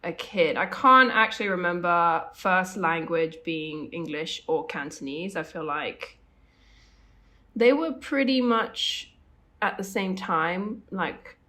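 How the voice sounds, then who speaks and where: A woman's voice: on the low side, sharp tone. A young woman from Hong Kong co-hosting a casual podcast chat, rambling and correcting herself as she goes. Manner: rambling, self-correcting, quick asides. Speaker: podcast co-host.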